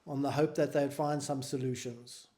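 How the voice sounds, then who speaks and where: A man with a South African accent, a charity founder calmly explaining his work in an interview. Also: medium pitch. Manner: measured, explanatory. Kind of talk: interview answers.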